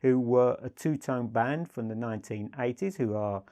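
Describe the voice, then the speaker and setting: A British man in middle age with a medium-pitched voice talks informally to viewers as a product dealer. He is enthusiastic and leans hard on his words, piling up emphatic praise. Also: normal speed, mellow. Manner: enthusiastic, emphatic, repeats superlatives. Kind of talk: informal product presentation to camera.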